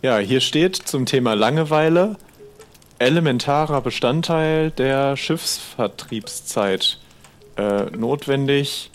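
A man's voice: mid-range voice, lively intonation, slow pace. A man speaking casually and politely, a little tentative, as a player improvising in a role-play.

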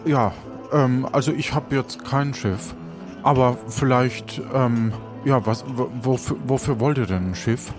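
A man's voice: mid-range voice, unhurried, over music, close to an everyday voice. A man playing an awkward, evasive pirate crewman who stammers and trails off in an improvised audio adventure. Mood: awkward, hesitant.

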